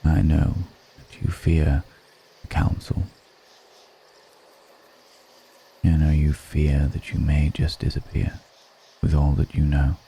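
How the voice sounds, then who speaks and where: A man voicing a seductive, grave vampire lord in an intimate ASMR roleplay, speaking in a hushed, deliberate and solemn manner. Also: rumbling low, flat delivery, gravelly, slight background noise, exaggerated voice. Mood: tender, musing.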